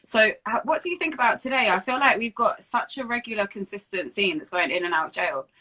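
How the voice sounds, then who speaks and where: Bright, quick London woman interviewing. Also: mid-range voice, expressive, slightly rough. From London, England. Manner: informal, conversational phrasing full of "like" and "you know". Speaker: interviewer and host. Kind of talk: casual livestream interview chat. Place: remote Instagram Live video call.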